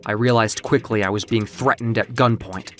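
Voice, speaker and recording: A narrator telling a dramatic story in a measured, literary, theatrical way, as in an audio comic book. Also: mid-range voice, steady tone, soft background music.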